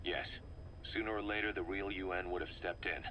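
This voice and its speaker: Game role: male actor as fervent mercenary deputy. Low, hard-edged, persuasive delivery, slight background noise. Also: steady tone, brisk, dull audio, lightly acted. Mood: grave, bitter. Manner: persuasive, emphatic, speechifying. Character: fervent, embittered male mercenary second-in-command briefing his boss. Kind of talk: video game dramatic dialogue. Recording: noisy cassette briefing with background rumble.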